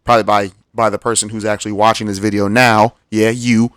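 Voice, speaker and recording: A man's voice: on the low side, level tone, brisk, fairly bright tone, dry recording, studio quality. A man giving casual commentary as he streams a game, rambling and thinking aloud.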